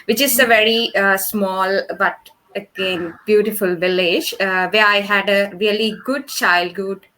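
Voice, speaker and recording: A woman's voice: mid-range voice, steady tone, unhurried, few pauses, bright, forceful tone. Hesitant young Sri woman. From Sri Lanka. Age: 20s. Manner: hesitant, many "uh" and "um" fillers, earnest. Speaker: award-winning youth peace activist guest. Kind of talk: casual personal account.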